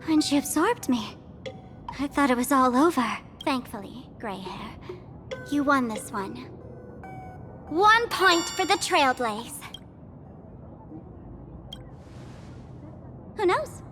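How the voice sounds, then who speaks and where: A woman's voice: high voice, sing-song, unhurried, pauses often, a bit bright, soft background music, full character voice. An actress playing a theatrical, cocky female trickster villain in an anime game, teasing and dramatic. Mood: musing, playful. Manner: teasing, dramatic, speaks of herself in third person.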